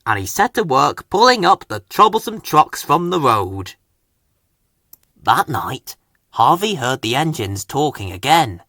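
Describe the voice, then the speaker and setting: A man's voice: medium pitch, big pitch swings, measured pace, pushed and bright, no room sound, clean studio sound. A man reading a children's story aloud as a scripted narration. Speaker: storybook reader.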